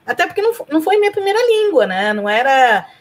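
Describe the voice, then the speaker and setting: A woman's voice: high voice, very animated, quick pace. A woman from Argentina whose first language is Spanish, chatting as a guest over a video call, laughing often and tagging her sentences with questions. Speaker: guest, professor and blogger. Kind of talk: casual interview chat. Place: home room.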